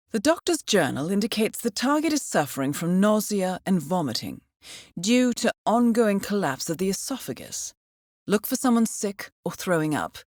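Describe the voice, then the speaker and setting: A woman's voice: fairly low pitch, no room sound. Cool spy handler lady for a video game, composed n formal, dry wit, really varied intonation, super dry studio sound. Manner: composed, formal, measured, dryly understated. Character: cool, poised female intelligence handler guiding an assassin. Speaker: mission handler in a stealth assassin video game. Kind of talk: scripted video game mission briefing.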